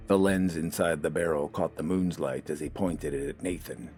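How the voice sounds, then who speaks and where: A man's voice: extremely low pitch, fairly even intonation, normal speed, darkish tone, faint music behind. A man reading scripted, dramatic fiction as an audiobook narrator.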